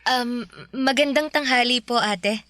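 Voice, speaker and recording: A woman's voice: fairly high pitch, unhurried, a bit bright, no room sound. A young woman playing a giggly, polite girlfriend in a radio drama.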